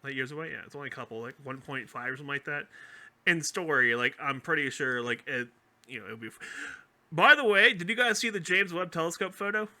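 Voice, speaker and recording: A man chatting casually as a podcast co-host, explaining things in an animated, rambling way. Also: on the high side, quick pace. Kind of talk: casual chat, explaining fan theories about a TV franchise.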